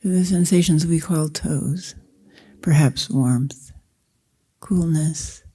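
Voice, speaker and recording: Casting: woman as Zen meditation guide, very soft-edged dark timbre, slow and deliberate delivery in short phrases. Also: sits low, breathy edge. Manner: measured, deliberate, short phrases with long pauses.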